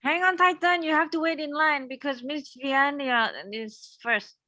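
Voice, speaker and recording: Bright, high-pitched woman moderating. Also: fairly even intonation, measured pace. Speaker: session host moderating questions. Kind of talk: casual moderating.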